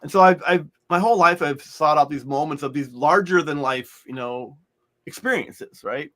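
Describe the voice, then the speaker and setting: Higher-voiced man rambling about writing. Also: normal speed, bright, forceful tone. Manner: rambling, many restarts and fillers. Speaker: author guest. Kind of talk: conversational interview.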